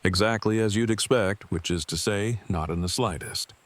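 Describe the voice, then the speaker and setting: A man's voice: fairly low pitch, normal speed, natural voice. An actor playing a nervous, wordy male survivor who speaks in stiff, formal phrasing for an audio drama. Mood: awkward, formal.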